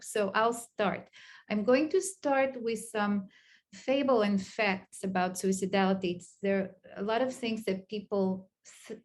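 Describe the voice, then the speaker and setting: A middle-aged woman from Israel with an Israeli accent, a clinical psychologist giving an online lecture in a halting way with many pauses and fillers. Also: mid-range voice, a little mellow, a little hiss or hum.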